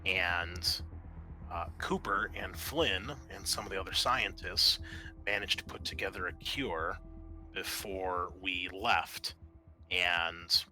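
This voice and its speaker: A man working as game master, improvising tabletop narration in a halting, thinking-aloud way. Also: sits low, pushed and bright, soft background music, rough recording.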